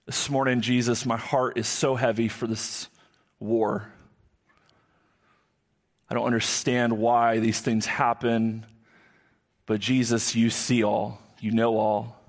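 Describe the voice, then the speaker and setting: A male pastor with a booming voice, preaching a sermon and leading prayer in a church on a live microphone, earnest and repeating key phrases for emphasis. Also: little pitch movement, slow pace, long pauses. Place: church sanctuary. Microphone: live amplified microphone.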